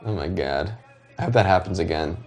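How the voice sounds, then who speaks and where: A man's voice: low voice, animated, brisk, vocal fry, darkish tone, faint music behind. A man who hosts a show, giving informal commentary over video with loose, occasionally crude banter. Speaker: show host.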